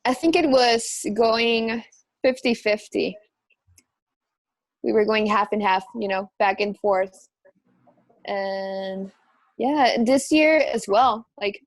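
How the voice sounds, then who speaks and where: A woman, a singer guesting on a podcast, chatting in a relaxed, easygoing way. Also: fairly high pitch, no echo. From Mexico. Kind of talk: casual interview chat.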